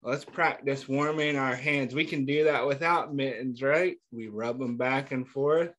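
Slow, gentle man reading to kids. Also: mid-range voice. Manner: gentle, patient, asks questions and pauses for answers; refers to himself in the third person. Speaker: children's story reader. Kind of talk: interactive read-aloud for young children.

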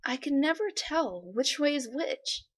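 A woman voicing an ancient, weary, trapped spirit, brooding in a hushed way. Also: high-pitched, animated, measured pace.

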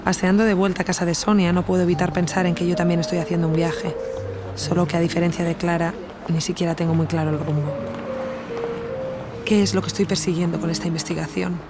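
An actress playing an introspective podcast investigator with an accent from Spain, narrating in a measured, reflective first person for an audio drama. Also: female voice, on the low side, flat delivery, music in the background, lightly acted. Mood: pensive, questioning.

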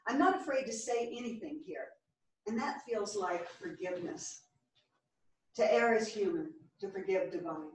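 A woman's voice: medium pitch, expressive, moderate pace, a little hiss or hum, close to an everyday voice. Echoey, distant actress as a wounded, bookish woman. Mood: confessional, pained. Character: frank, bookish, wounded woman in group confession. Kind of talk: staged play reading. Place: small theatre stage. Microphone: far camera. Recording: echoey theatre, far from the microphone.

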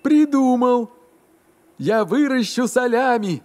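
A man voicing an excitable, enthusiastic little mustached cook in a children's cartoon, with childlike, exclamatory delivery. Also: extremely high pitch, takes it slowly, full character voice. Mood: proud, excited.

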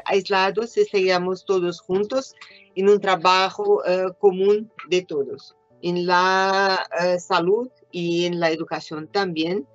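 Brazilian woman speaking Spanish haltingly. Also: mid-range voice, no echo. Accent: Brazilian Portuguese. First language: Portuguese. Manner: hesitant, many pauses and fillers, mixes Portuguese words. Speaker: invited speaker, educator. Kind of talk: conference presentation. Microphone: webcam on video call. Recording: dull lo-fi video call audio.

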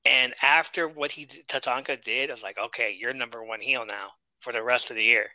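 A man talks wrestling history on a podcast in a bright, clear voice, recorded dry and close. He is chatty and jokes as he runs through his notes. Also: mid-range voice, steady tone, a little fast, no echo, low-quality recording. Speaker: podcast co-host. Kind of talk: casual wrestling review chat.

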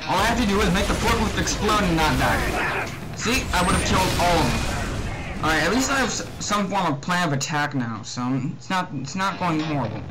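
A young man giving casual, excitable gameplay commentary, often muttering to himself and exclaiming as he plays. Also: sits high, fairly quick, low-quality recording. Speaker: let's play gamer. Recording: loud game music and gunfire behind. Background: loud video game music and gunfire.

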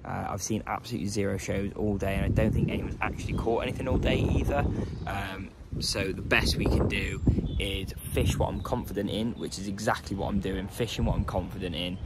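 A young man in his twenties filming himself on a phone by a lake, talking casually to camera in a low-key, resigned way with plenty of "um"s. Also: fairly even intonation, brisk, heavy background noise. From England. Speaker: carp fishing vlogger. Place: outdoors by a lake. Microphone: phone camera held at arm's length.

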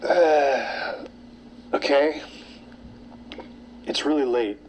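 Mellow, halting, obsessed man played by an actor. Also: medium pitch, slight background noise, rough recording. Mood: wary, hesitant. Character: obsessed, brooding man seeking supernatural truth. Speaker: actor in an audio drama. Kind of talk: naturalistic audio drama acting.

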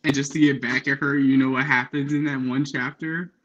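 A man's voice: mid-range voice, fairly even intonation, fairly quick, a bit bright, muffled recording. A young man hosting a casual book chat on a video call, speaking informally and enthusiastically with slangy praise. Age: young adult. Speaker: book livestream host. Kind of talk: casual chat about books. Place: indoor room at home, on a video call. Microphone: headphones on, video call.

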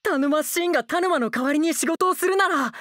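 An actor playing a playful, affectionate and lazy young shogun who wheedles and pouts theatrically in a comic anime drama. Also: male voice, very high voice, a little fast, bright, forceful tone, dry recording, clean studio sound. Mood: gleeful. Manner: sing-song wheedling, theatrical pouting. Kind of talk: anime voice drama comedy acting.

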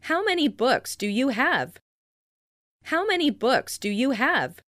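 A woman reading short example sentences with careful, deliberate enunciation for a listening lesson, in a dry studio voice-over. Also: high voice, very animated, fairly bright tone, no room sound. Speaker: listening practice speaker. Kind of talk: slow read example sentences.

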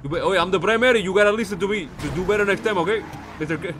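A high-pitched man plays a cop in a roleplay game. He talks quickly and barks the same orders over and over, dropping exclamations between English lines. A faint hum sits behind him. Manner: barks commands, mixes Spanish into English, repeats himself. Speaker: police officer in a roleplay game. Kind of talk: in-character roleplay.

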